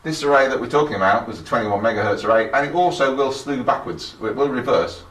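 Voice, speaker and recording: A man's voice: medium pitch, lively intonation, fast talker, fairly bright tone. A middle-aged or older man giving an informal guided technical tour in a matter-of-fact, explanatory way. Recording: roomy echo with faint background noise.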